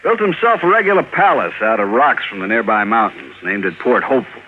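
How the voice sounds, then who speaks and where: An actor playing a businesslike, suspicious insurance manager in a 1950s radio drama, measured and emphatic. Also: male voice, on the low side, animated, natural voice. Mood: informative. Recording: tinny, slightly noisy vintage radio recording.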